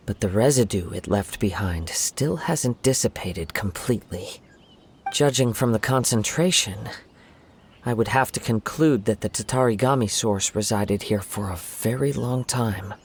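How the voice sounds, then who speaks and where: An actor voicing a calm, thoughtful young wandering swordsman in a video game, speaking in measured, formal phrases. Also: lowish voice, slight background noise, lightly acted. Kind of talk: video game voice acting, scripted dialogue. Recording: game music behind the voice.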